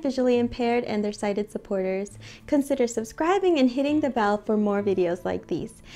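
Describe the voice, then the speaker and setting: A woman presents her own channel in an upbeat, welcoming way, then asks interview questions from behind the camera. Her voice sits fairly high, with a quiet guitar playing underneath. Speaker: channel host. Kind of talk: friendly video intro and interviewing.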